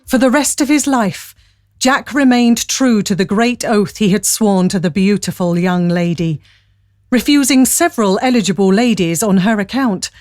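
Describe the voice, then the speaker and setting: A woman's voice: mid-range voice, normal speed, a little mellow. A woman narrating a scripted radio drama as a storyteller. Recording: faint background ambience.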